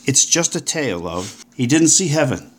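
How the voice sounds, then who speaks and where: An actor with a British accent playing a breezy, upbeat forty-year-old paleontologist full of old-fashioned slang. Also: male voice, medium pitch, very animated, normal speed. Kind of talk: audio drama acting.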